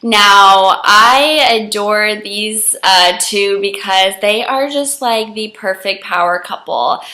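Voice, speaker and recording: A young woman vlogger with a cold talks to camera, her voice hoarse and froggy. She speaks at an unhurried pace with a bright, forward tone. She gushes about her favorites in a friendly, casual chat. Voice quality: froggy, deeper than usual. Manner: gushing, enthusiastic. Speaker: book vlogger.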